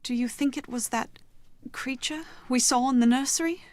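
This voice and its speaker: Woman voicing anxious young woman, video game character, high voice, dry studio sound, emphatic, insistent, hopeful. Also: moderate pace, darkish tone, no echo, lightly acted. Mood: worried, pleading. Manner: pleading, emphatic. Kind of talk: scripted video game voice acting.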